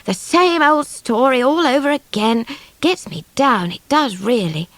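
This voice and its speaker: Woman playing a very small child, excitable, blunt, high-pitched, swooping, audiobook. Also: unhurried, no echo. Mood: plaintive. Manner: babyish, exclaiming, dramatic.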